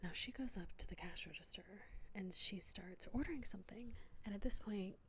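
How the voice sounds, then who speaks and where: Softly whispering young woman from the South. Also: medium pitch, brisk, a little hiss or hum. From Southern United States. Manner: soft whisper, hesitant, frequent "like" fillers. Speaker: vlogger. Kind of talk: whispered ASMR storytelling.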